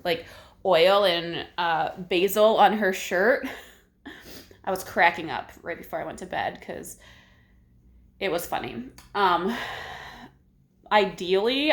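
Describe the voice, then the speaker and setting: Chatty young woman, lots of "like". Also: on the low side. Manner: chatty, rambling, many "like"s and "um"s. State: congested. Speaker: book vlogger. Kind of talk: casual reading vlog chat.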